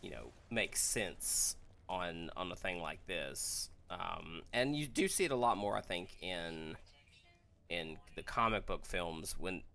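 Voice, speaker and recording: A man co-hosting a casual discussion in a measured, thoughtful way, often qualifying what he says. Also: deep voice, animated, vocal fry, sharp tone, slight background noise. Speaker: podcast co-host. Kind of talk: casual discussion of films and games.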